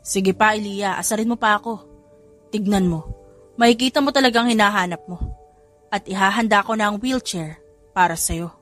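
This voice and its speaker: A woman's voice: mid-range voice, normal speed, long pauses, fairly bright tone, natural voice. A woman voicing a teasing, affectionate young man in love, with a Filipino accent, in an audio romance story. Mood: teasing threat. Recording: music behind the voice.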